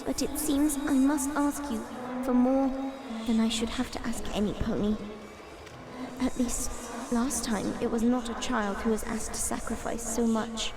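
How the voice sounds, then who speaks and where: Woman voicing a high-pitched, solemn magical seed spirit. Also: fairly even intonation, a little slow. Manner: formal, grave, earnest phrasing. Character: dying magical tree seed spirit, solemn, pleading, female. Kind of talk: fantasy audio drama acting.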